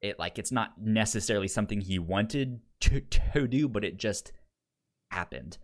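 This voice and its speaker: A man co-hosting a podcast in casual discussion, speaking haltingly with stammers and repeated word starts. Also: on the low side, lively intonation. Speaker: podcast co-host reviewing an audio drama.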